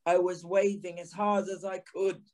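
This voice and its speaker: A man's voice: high-pitched, flat delivery, darkish tone, natural voice. An actor playing a haunted former journalist turned signalman, grave, anguished and intense in a dramatic audio drama narration. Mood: grave, regretful. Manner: slow, deliberate, intense.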